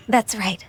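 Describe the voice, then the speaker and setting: A woman voicing a gentle, dutiful adeptus secretary who speaks softly and earnestly, acted in a game. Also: on the high side. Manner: soft-spoken, earnest, polite. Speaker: government secretary. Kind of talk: game voice acting.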